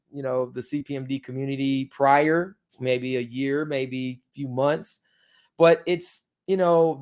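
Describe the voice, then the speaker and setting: A man's voice: mid-range voice, darkish tone, clear, resonant tone. A man hosting a casual tutorial for his viewers, his speech slightly thickened by dental aligners, rambling with many ums and you-knows. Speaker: crypto channel host.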